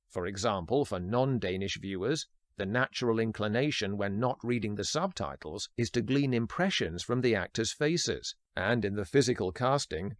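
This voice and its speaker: A man hosting a podcast, reading a scripted film history narration in a measured, formal way. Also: mid-range voice, dry recording, studio quality. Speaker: podcast host.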